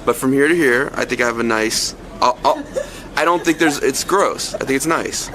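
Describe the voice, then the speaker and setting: A man being interviewed casually, speaking in a halting, repetitive, self-deprecating way. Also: medium pitch, crowd noise.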